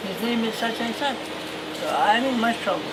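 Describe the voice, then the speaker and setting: A man describing his experiences earnestly, in halting, non-native phrasing. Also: very high-pitched, animated, heavy background noise. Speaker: community member.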